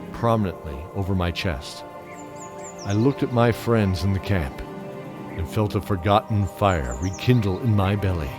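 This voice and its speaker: Man voicing a low-voiced solemn old knight. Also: close to an everyday voice. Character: solemn old male holy knight telling his tale. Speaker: narrator and actor of an audio drama. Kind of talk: first-person dramatic storytelling. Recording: background music throughout.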